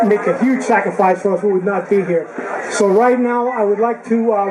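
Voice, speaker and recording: Man in his 60s, emcee type, high pitch, halting and joking, loud crowd noise behind. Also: low-quality recording. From Cuba. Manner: halting, many fillers and restarts, joking. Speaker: event emcee. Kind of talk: speaking to a crowd at a reunion.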